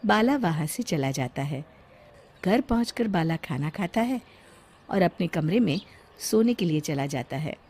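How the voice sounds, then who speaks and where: A woman narrating a children's cartoon story in a clear, even storytelling manner. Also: fairly low pitch, measured pace.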